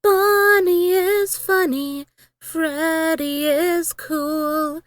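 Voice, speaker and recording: Very high, slow woman singing. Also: steady tone, no room sound, faint music behind. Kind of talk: slow, simple singing, lullaby-like.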